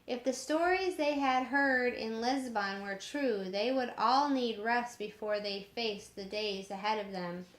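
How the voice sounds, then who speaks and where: A woman reading a story aloud in a measured way, sometimes stumbling over harder words, in an echoing room. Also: on the high side, a bit bright. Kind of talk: storybook narration. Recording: roomy echoing home recording.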